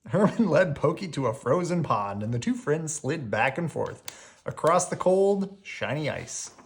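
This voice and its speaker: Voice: mid-range voice, big pitch swings, a little slow. A storyteller reading a children's picture book aloud expressively, drawing out key words.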